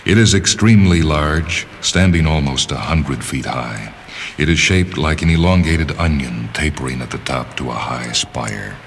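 A man narrating literary fiction as a dramatic reading, in a measured, deliberate manner with pauses. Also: very deep voice, a bit bright, a little hiss or hum. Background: music.

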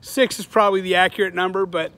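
A fairly high-voiced man in his forties rambles to his followers in a loose, earnest, profane way. He is standing outdoors on a sunny suburban street. The sound comes from a phone held at arm's length. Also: steady tone, fast talker, a bit bright, no room sound. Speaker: vlogger, channel host. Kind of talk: casual selfie vlog to viewers.

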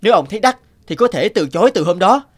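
A man's voice: high-pitched, animated, fast talker, breathy edge. A man voicing a proud, earnest painter in a cartoon, polite but firm. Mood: protesting. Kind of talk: animated moral tale dubbing.

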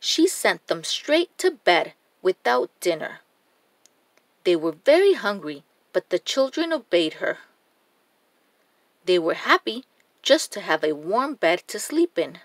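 A woman storyteller reading a children's storybook aloud in a deliberate, expressive manner. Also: mid-range voice, dry recording. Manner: slow, deliberate, expressive read-aloud delivery. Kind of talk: scripted children's storybook narration.

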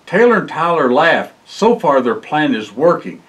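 An older man reading a children's picture book aloud in an expressive way, with exclamations and short asides. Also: on the high side, moderate pace. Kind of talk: storybook read-aloud for children. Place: indoor library room, seated by bookshelves.

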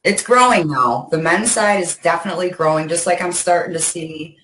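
A woman's voice: on the low side, fairly quick, a bit bright. A middle-aged woman explains things in a casual, chatty way as a guest on an online show. Her video call sounds roomy and echoing. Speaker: guest Pinterest specialist. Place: home room, video call.

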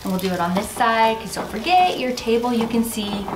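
A woman's voice: fairly high pitch, lively intonation, a little fast, background noise. Bubbly woman showing table decor. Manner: chatty, bubbly, self-teasing. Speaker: home decor host. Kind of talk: casual how-to vlog. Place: dining room indoors.

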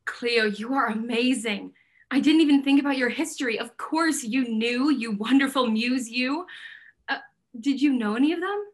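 An eager, smitten gallery owner acted by a young woman in a stage play reading. She has a fairly high voice and talks in a casual, candid, gushing way. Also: normal speed, close to an everyday voice. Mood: gushing, adoring. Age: young adult. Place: indoor room at home, video call.

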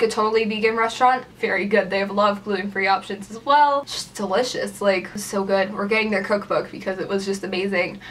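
A woman's voice: mid-range voice, fairly bright tone, recorded from a distance. A young woman vlogging casually at home, rambling with lots of "like" fillers while gesturing. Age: young adult. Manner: chatty, lots of "like" fillers, gestures with her hand. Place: small room at home, indoors by a door.

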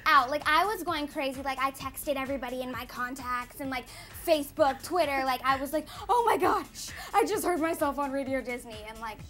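Teenage girl, American, high voice, bubbly, casual chatty answers, faint music behind. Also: fairly quick, hardly any pauses. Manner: bubbly, peppered with "like". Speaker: interview guest, young actress. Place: small TV studio lounge. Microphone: lapel mic.

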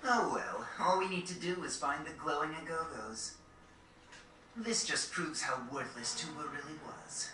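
An actor playing a haughty, callous young lord in a fantasy video game, with a disdainful, sneering delivery. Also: male voice, on the high side, measured pace, pushed and bright. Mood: mocking disdain. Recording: echoey, noisy distant capture off a TV.

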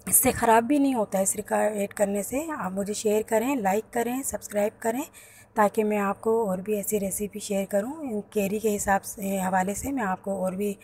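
A woman, hosting a home cooking channel and calmly talking through each step of a recipe. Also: mid-range voice, moderate pace, slight background noise. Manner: explains each step plainly to viewers. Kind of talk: home cooking tutorial voice-over. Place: home kitchen.

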